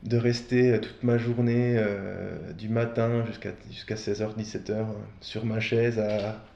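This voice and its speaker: A man's voice: fairly low pitch, steady tone, a little slow, creaky, mellow. A man from Belgium talking casually as an interview guest, telling personal stories in a candid, informal, slangy way. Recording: dull, roomy echoing sound.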